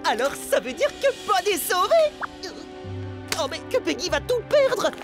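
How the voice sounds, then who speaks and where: A performer voicing an eager, naive and loyal young amoeba boy in a French cartoon, earnest and excitable. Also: very high voice, measured pace, full character voice. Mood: torn, panicked. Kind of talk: French cartoon dub acting. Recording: loud music behind the voice.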